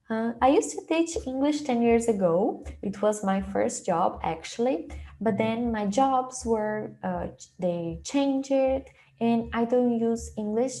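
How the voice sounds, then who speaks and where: Slow, mellow young Brazilian woman presenting. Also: medium pitch. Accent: Brazilian Portuguese. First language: Portuguese. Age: young adult. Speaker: online session presenter. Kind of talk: informal webinar presentation. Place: small indoor room, video call.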